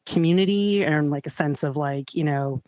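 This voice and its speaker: A woman's voice: deep voice, normal speed, darkish tone, no room sound. A woman guest in an interview, rambling casually with many "like" fillers. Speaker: guest, streamer and musician.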